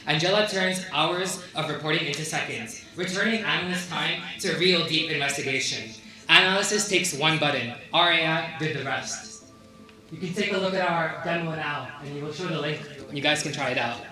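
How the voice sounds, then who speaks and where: A man wrapping up a hackathon pitch, briskly listing features and inviting the audience to try a demo. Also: music in the background. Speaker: hackathon team presenter. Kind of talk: elevator pitch ending with a demo link. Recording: echoey hall from far off.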